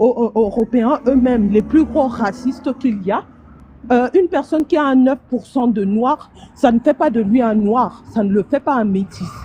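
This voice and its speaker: A woman's voice: high-pitched, dark tone, muffled recording, a little hiss or hum. A woman calling into a live stream from outdoors on the street, arguing hard and stumbling over repeated words as she makes her point. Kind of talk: heated casual debate.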